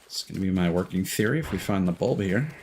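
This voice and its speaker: A man's voice: low-pitched, lively intonation, fast talker, darkish tone, a little hiss or hum. A man streaming a video game with casual, spoken-as-he-plays commentary. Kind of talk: casual live gameplay commentary. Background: game music.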